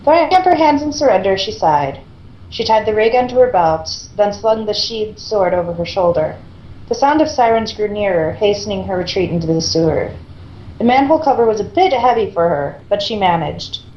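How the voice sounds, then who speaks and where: Mellow woman reading in an echoey room. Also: mid-range voice, animated, normal speed, dull audio. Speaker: co-reader in a group reading. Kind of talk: amateur fan fiction narration and casual chat. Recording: roomy echo, faint background noise.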